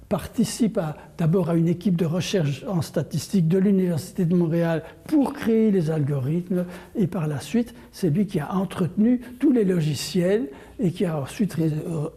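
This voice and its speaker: Older guy being interviewed, very mellow dark voice, explains stuff carefully and rewords it. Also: sits high. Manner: deliberate, rephrasing as he explains. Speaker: interview guest, language course creator.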